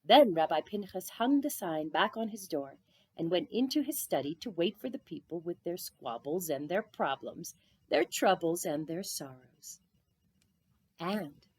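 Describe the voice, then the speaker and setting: A woman reading a children's story aloud in an expressive narrating style. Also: lowish voice, a little slow, husky, no echo. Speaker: storybook reader.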